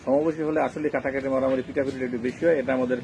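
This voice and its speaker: A man's voice: medium pitch, steady tone, very fast, a little mellow, slight background noise, poor audio. A man with a Bangladeshi accent hosting a conversational interview, asking questions and addressing the viewers. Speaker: interviewer and host.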